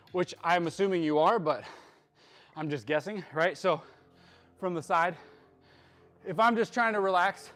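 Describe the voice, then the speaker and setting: A fitness coach talks to his viewers between sets of a live workout, full of jokes and pumped-up encouragement. His voice sits high for a man. A bit of music plays quietly under him. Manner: hyped, joking, bro-style coaching talk.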